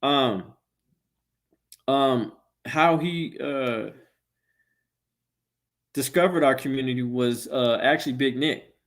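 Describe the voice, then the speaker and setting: A man hosting his channel, introducing a clip in a hesitant, halting way with lots of fillers. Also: mid-range voice, fairly bright tone. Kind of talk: casual chat.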